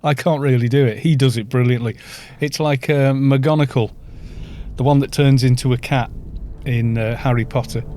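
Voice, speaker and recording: A man's voice: medium pitch, fairly even intonation, moderate pace, dark tone. A man in his fifties from North Wales with a North Welsh accent, chatting casually and rambling while he leads a game group on a live stream. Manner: chatty, rambling, chuckles often, reads chat aloud. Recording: faint background music.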